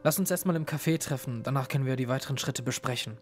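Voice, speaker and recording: Man as an earnest young sleuth, mid-pitched, music behind. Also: fast talker, close to an everyday voice. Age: young adult. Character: curious young male student investigator. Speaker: narrator.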